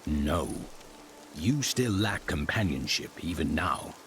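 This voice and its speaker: A grave, stoic warrior from a fantasy game, with an extremely low voice. He speaks slowly and formally, in blunt phrases that still swing in pitch, over clear background music. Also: animated, a bit bright, slight background noise, full character voice. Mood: stern, curious. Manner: solemn, terse, formal. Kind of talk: fantasy video game acting.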